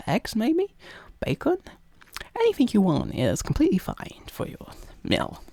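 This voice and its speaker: A young British man doing a playful, coaxing ASMR roleplay in casual instructional chat, often slipping into a breathy whisper. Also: on the high side, a little mellow, no room sound.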